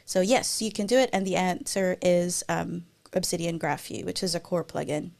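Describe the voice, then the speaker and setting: A woman's voice: on the low side, moderate pace, gravelly, darkish tone. A woman in her thirties, a native Tagalog speaker, answering audience questions casually on a video call with lots of fillers. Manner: casual and chatty, many fillers and restarts. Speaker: guest speaker. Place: indoor room. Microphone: webcam video call.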